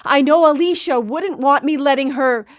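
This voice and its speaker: Woman acting high-voiced, stern worried mother-in-law. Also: normal speed, lightly acted. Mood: exasperated. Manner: reproachful, earnest. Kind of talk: live radio drama acting.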